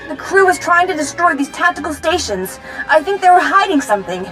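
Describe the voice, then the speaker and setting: Young game heroine, very high voice, earnest, hurried, faint music behind. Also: female voice, sing-song, bright, forceful tone, exaggerated voice. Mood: anxious, eager. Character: eager, earnest young female Jedi companion. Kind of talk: scripted video game acting.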